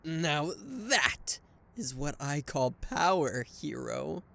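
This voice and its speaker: A man voicing a smug, slow-drawling anti-hero villain. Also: on the high side, big pitch swings, creaky, sharp tone, exaggerated voice. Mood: mocking. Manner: slow, teasing, mockingly flirtatious drawl. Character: smug male anti-hero villain gloating over a captured hero. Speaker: voice actor. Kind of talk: ASMR roleplay.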